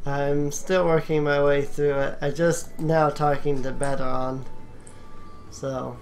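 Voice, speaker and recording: Creaky slow-talking gamer doing a casual let's play, kinda halting, game music behind. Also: mid-range voice, flat delivery, darkish tone. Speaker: host of a gaming video, player.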